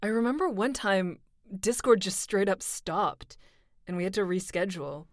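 Relaxed woman, casual chat. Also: mid-range voice, normal speed. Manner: relaxed, lots of fillers. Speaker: voice actor.

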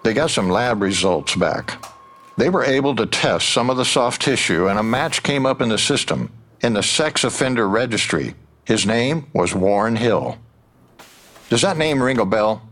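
A man's voice: medium pitch, lively intonation, moderate pace, a bit bright. A man playing a smug, persistent investigator in an audio drama, pointed and goading as he probes. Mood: probing, pointed. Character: needling, probing male investigator, smug and persistent. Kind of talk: full-cast audio drama acting.

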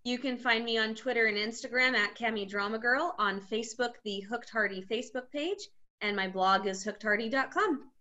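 A woman's voice: fairly high pitch, normal speed, pushed and bright, lo-fi sound. A woman co-hosting a casual podcast, playful and fond of jokey asides.

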